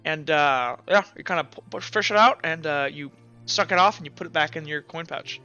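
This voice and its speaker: A man running a tabletop roleplaying game as game master, narrating off the cuff in a halting, conversational way. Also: on the high side, bright, forceful tone, clear, resonant tone, muffled recording. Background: music.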